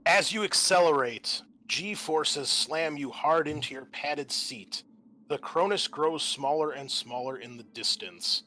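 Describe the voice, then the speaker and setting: A man's voice: a little high, measured pace, bright, forceful tone. A man hosting as game master, narrating a tabletop roleplaying game in a casual, often profane manner.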